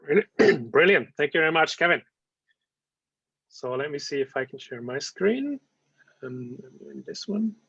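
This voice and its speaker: Man from Switzerland, slightly high voice, halting webinar delivery, dry dull call sound. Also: sing-song, gravelly, fairly bright tone, breathy edge, dry recording. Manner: hesitant, many "uh" and "um". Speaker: webinar speaker. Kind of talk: business presentation. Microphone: video call.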